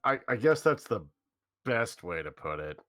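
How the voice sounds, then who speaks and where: A man reading the lines of an easygoing teenage boy in a game read-along, casual and lively. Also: fairly low pitch, a little slow, dry recording, clean studio sound. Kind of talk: read-aloud of a game script with friends. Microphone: headphones with mic.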